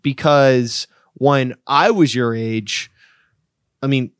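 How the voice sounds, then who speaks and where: A man in his late thirties hosting a laid-back interview, rambling and chatty with lots of fillers and the occasional curse. His voice sits at an ordinary middle pitch. It is recorded close and dry, with no room sound. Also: slow, clean tone, no echo. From Pittsburgh area, USA. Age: 30s. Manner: loose and chatty, heavy filler "like", swears casually. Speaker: podcast host. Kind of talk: casual interview chat.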